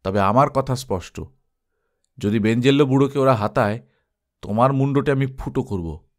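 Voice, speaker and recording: A man voicing a hot-headed male adventurer in an audiobook, gruff, grumbling and threatening. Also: medium pitch, moderate pace, natural voice. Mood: grumbling threat.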